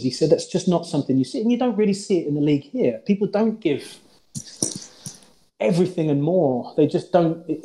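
A man's voice: on the high side, dark tone, rough recording. A man, a former head coach, talking earnestly about past matches in a casual chat, often restarting his sentences.

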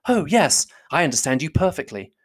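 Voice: medium pitch, sing-song, a little fast, no room sound, pitched above the performer's own voice. A reader voicing a poised, frank young woman with a witty, composed and polite manner. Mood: teasing.

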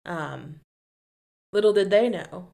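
A woman's voice: lowish voice, expressive, slow pace, gravelly, mellow. A young woman from the Philippines, a podcast co-host chatting in a giggly, easygoing way. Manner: giggly, chatty, lots of fillers. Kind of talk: casual chat.

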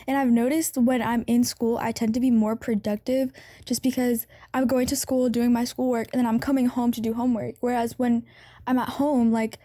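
A woman's voice: a little high, level tone, a little fast, warm tone, no room sound, studio quality. A teenage girl hosting a podcast, speaking in a friendly, thoughtful way and hedging often with "like". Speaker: podcast host. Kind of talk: casual chat.

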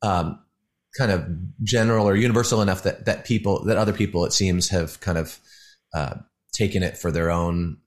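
A man, a musician calling in as a guest, talking in a relaxed, rambling way with many fillers over a call. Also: low-pitched, normal speed. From United States. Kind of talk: casual interview over a call. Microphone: phone or video call.

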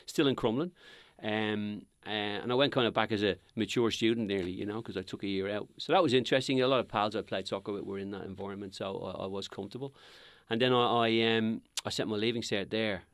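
A man's voice: lowish voice, no room sound, clean studio sound. A middle-aged man from Dublin with a Dublin accent, telling his life story as a podcast guest with lots of ums and restarts. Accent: Dublin Irish. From Ireland. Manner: rambling, many ums and restarts. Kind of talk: casual podcast interview.

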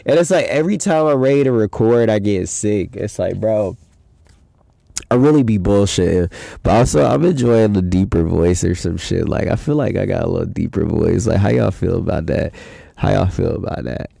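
A man's voice: deep voice, moderate pace, creaky, dark tone, dry recording. A young man hosting a casual solo podcast, talking in a loose, rambling, slangy way with a stuffy nose from a head cold. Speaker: podcast host.

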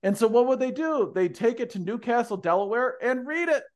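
A man's voice: extremely high pitch, expressive, a little fast. A man co-hosting a casual discussion, with a big voice and a dry, wry humor. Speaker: podcast co-host.

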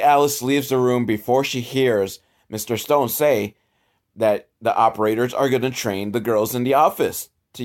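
A man hosting a casual comedic commentary on old films, with a sarcastic, profane way of talking. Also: mid-range voice. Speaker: host reviewing old educational films.

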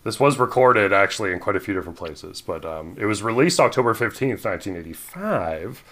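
A man cohosting a music podcast, talking casually from his room in a rambling, laid-back way, trailing off quietly. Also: on the low side, lively intonation, a little fast. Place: home room.

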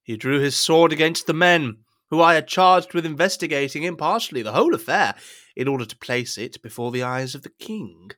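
A man's voice: on the high side, expressive, a little fast, fairly bright tone. An actor playing a scheming cardinal in an audio drama, formal and insinuating in his speech. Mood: earnest, scheming. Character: smooth, scheming cardinal and royal adviser.

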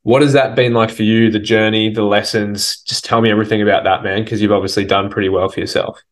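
Aussie bloke hosting a pod, chill and matey, slightly low voice. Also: male voice, little pitch movement, fast talker. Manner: laid-back and matey, says dude and man. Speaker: podcast host. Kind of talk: casual interview hosting.

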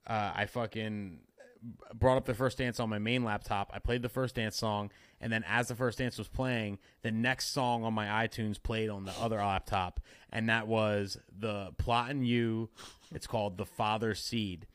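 A man's voice: fairly low pitch, normal speed, smooth, dry recording, studio quality. A man in his thirties, a DJ, telling a story in casual podcast chat, laid-back and swearing a lot. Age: 30s. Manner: laid-back, swears a lot, loud bursts when excited. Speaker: DJ and podcast guest.

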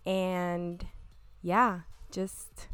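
A woman's voice: on the low side, flat delivery, very slow, fairly bright tone, clean tone, no echo, faint music behind. A young woman from Miami, a podcast guest, talking in an earnest, reflective way in casual chat. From Miami, United States. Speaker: podcast guest, school counselor.

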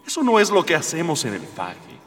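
A man's voice: fairly high pitch, wildly swooping pitch, fairly quick, fairly bright tone, long reverb tail, faint music behind. A man playing a gallant, idealistic young alien rebel prince in a comic radio drama, earnest and formal. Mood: explaining, firm.

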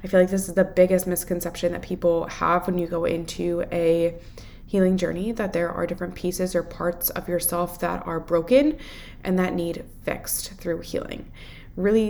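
This is a woman talking off the cuff as a podcast host. Her voice sits a little low, with a lot of vocal fry and a stuffed-up, head-cold congestion. She speaks casually and earnestly, encouraging the listener directly. There is faint noise behind her. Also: a little mellow. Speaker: podcast host and self-improvement mentor. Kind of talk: unscripted casual solo podcast talk.

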